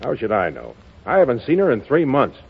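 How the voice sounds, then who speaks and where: A man's voice: mid-range voice, huge pitch swings, fairly quick, creaky, slightly rough, full character voice. An actor playing a tough, slangy, commanding man in 1930s radio drama style, curt and emphatic. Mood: gruff, bitter. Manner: curt, hard-boiled, emphatic. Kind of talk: 1930s American radio acting. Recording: muffled lo-fi 1930s radio broadcast.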